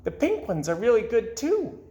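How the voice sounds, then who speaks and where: A man voicing an eager, cheerful little male goldfish from a storybook, excitable and longing for a pet. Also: very high voice, moderate pace, reverberant, pitched above the performer's own voice, full character voice. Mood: friendly.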